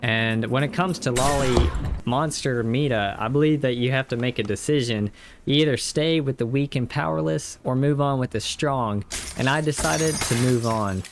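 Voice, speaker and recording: A man's voice: mid-range voice, normal speed, a little hiss or hum. A man narrating a casual scripted recap of a video game, with tongue-in-cheek exaggeration.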